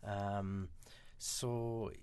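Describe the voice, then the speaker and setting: A man with an Irish accent, talking quickly and chattily as a radio guest, with many filler sounds. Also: lowish voice, level tone, darkish tone, clear, resonant tone. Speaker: guest from a mental health charity. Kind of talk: radio interview answers.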